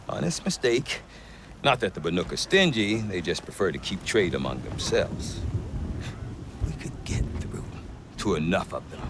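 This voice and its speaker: Deep-voiced wry frontier trader. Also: male voice, very noisy, full character voice. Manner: wry, dry humor, lively delivery. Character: gruff middle-aged male frontier trader, wry. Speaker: merchant trader. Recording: game cutscene with music behind.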